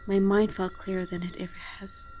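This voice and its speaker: A woman's voice: medium pitch, level tone, a little fast, mellow, slight background noise. A woman reading a scripted first-person horror story in a sombre, controlled way.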